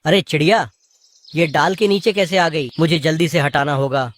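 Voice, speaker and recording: A man's voice: a little high, fairly even intonation, normal speed, dry recording, close to an everyday voice. A man voicing a concerned, loyal male parrot in a cartoon, speaking earnestly. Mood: alarmed. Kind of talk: animated story dialogue.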